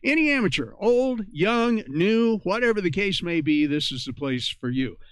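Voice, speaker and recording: A man's voice: high voice, animated, moderate pace, clear, resonant tone, no room sound. A man hosting an amateur radio net, reading out announcements and procedural reminders in an informal, conversational way.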